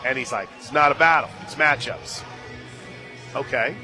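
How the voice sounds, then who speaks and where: A man doing live radio play-by-play of softball, conversational between pitches and excited on the action. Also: moderate pace. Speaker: play-by-play announcer. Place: radio booth at an outdoor ballpark. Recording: crowd and stadium music behind. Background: crowd, loudspeaker music.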